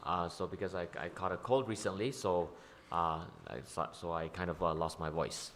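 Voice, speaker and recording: A man's voice: deep voice, moderate pace, fairly bright tone, a little hiss or hum. A man interpreting a sermon into English, hesitant, with frequent ums and uhs. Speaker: church interpreter.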